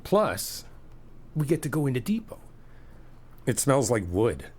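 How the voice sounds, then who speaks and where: A middle-aged man in an ASMR friend roleplay, talking in an easygoing, reassuring, chatty way with soft laughs. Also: mid-range voice, very animated, measured pace, slightly rough. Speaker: ASMR roleplay creator playing a best friend.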